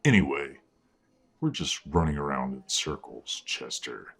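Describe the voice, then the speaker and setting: A man playing a menacing, controlling werewolf father in an audio drama, husky and breathy, smug and deliberate. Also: extremely low pitch, lively intonation, a bit bright, full character voice. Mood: threatening. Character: menacing, controlling werewolf patriarch, middle-aged or older father.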